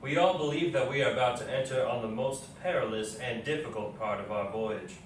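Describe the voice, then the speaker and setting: A man's voice: mid-range voice, brisk, close to an everyday voice. A man playing a resolute, matter-of-fact frontier expedition officer, reading his journal plainly and formally. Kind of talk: readers theatre journal recitation. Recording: echoey room, distant mic, faint noise.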